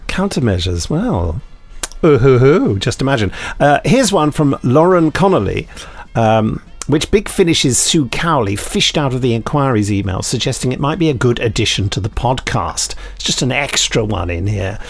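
A man's voice: mid-range voice, sing-song, moderate pace. A British man co-hosting a podcast, reading out listener emails and jokily breaking off to add his own comments. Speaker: podcast co-host.

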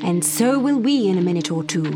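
A woman's voice: mid-range voice, expressive, fairly quick, over music, close to an everyday voice. An actress playing a determined, persuasive, no-nonsense British woman in an audio drama.

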